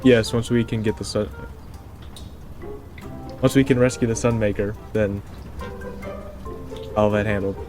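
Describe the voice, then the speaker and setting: A man's voice: fairly low pitch, steady tone, measured pace, pauses often, over music. A man playing a tabletop game, speaking casually as he roleplays his character.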